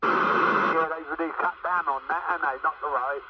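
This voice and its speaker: A man's voice: a little high, fairly even intonation, moderate pace. A man bantering casually over CB radio, with a teasing manner. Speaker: CB radio operator. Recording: distant, muffled CB radio with loud static.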